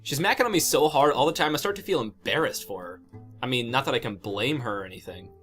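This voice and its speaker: A laid-back, cocky teenage boy played by a man for a webcomic fan dub. He has a widely swinging, mid-range pitch and delivers his casual boasts deadpan, like a know-it-all. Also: fast, soft background music, natural voice. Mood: cool, teasing.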